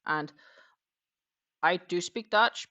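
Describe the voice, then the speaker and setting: A woman's voice: lowish voice, slow pace, pushed and bright, lo-fi sound. A Danish woman who speaks English as a second language, hosting a casual crochet livestream and musing aloud with fillers and restarts. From Denmark. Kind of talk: casual chat while crocheting.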